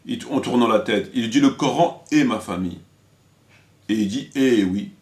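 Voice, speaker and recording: A man giving an informal spoken critique to viewers, halting and repetitive as he searches for words, with an indignant, emphatic edge. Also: medium pitch. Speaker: religious commentator and video presenter.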